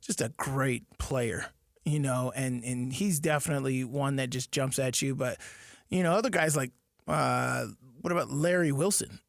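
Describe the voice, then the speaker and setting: A man's voice: mid-range voice, normal speed, vocal fry, no room sound, studio quality. A man who played football, chatting casually about players and rambling with many fillers. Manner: rambling, lots of fillers and restarts. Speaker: former football player, co-host. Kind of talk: casual sports chat.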